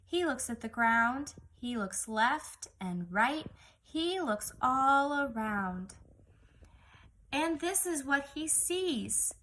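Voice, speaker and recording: A woman with a high, bright voice speaks as a teacher of young children. She takes her time, in a singsong read-aloud style, posing questions to her listeners as she goes. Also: animated, takes it slowly.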